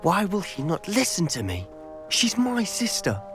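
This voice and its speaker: A man's voice: sits high, huge pitch swings, unhurried, music in the background, full character voice. A man playing a frustrated, defiant teenage boy in a fantasy video game, with an earnest, intense delivery. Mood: exasperated. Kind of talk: video game dialogue acting.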